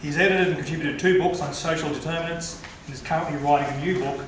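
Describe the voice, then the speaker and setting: A man's voice: on the high side, fairly even intonation, fast. A man moderating a forum, chatty, with light asides to the audience. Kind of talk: public speaking at a forum. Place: lecture hall. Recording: echoey hall from far off, noisy.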